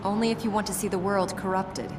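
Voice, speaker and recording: An actress playing a dry, determined young princess in a video game, terse and matter-of-fact. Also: female voice, medium pitch, steady tone, quick pace, very noisy, natural voice. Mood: deadpan, barbed. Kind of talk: video game cutscene acting. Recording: distant voice under music.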